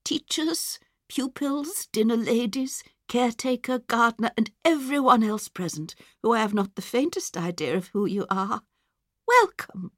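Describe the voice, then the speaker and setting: A man's voice: extremely high pitch, lively intonation, unhurried, a bit bright, dry recording, studio quality, voice pushed up, heavily acted. A man voicing a sweet, muddled 99-year-old headmistress in a sing-song, rambling manner for a storybook reading. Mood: doddery, stately. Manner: rambling, forgetful. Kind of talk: storybook character voice.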